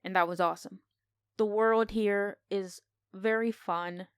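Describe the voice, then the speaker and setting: Woman chatting on a movie podcast, super clean smooth voice, really dry close sound. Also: mid-range voice, steady tone, measured pace, no room sound. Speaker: podcast co-host reviewing a film. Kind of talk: casual film discussion.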